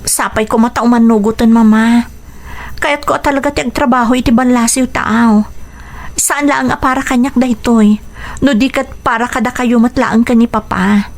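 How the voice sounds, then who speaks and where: Actress as higher-voiced pleading Ilocano daughter. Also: female voice, fairly even intonation, moderate pace, close to an everyday voice. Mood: earnest, pleading. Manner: earnest, pleading. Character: dutiful young daughter pleading to work abroad. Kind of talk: radio drama acting.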